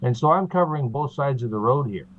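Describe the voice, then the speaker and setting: A man from Canada, a guest in an interview, explaining steadily with frequent pauses and fillers over a video call. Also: mid-range voice, expressive. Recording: tinny phone-like line.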